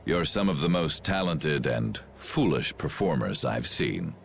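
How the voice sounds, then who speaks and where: An actor playing a scheming middle-aged knight commander in a game, pompous, condescending and theatrical. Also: male voice, extremely low pitch, very animated, unhurried, gravelly, background noise, exaggerated voice. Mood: smug contempt. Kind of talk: English game dub acting.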